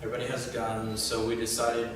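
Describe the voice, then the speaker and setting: A man's voice: mid-range voice, completely flat delivery, fast talker. A man talking casually as a screenwriter answering questions at a panel. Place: indoor room at a table read event. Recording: distant, very echoey, noisy room.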